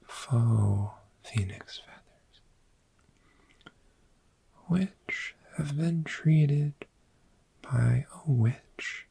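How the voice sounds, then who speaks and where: A performer voicing a friendly, soothing dragon in an ASMR roleplay, speaking in a gentle, breathy whisper and lingering on repeated words. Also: mid-range voice, full character voice. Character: friendly soothing dragon therapist showing a cozy hoard.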